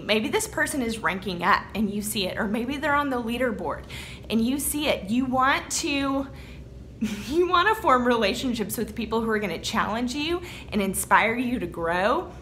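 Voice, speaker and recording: A woman's voice: on the high side, fairly bright tone, slight background noise. A young woman with a Texan accent giving an encouraging motivational talk to her business team, often checking in with "okay?". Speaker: network marketing team leader.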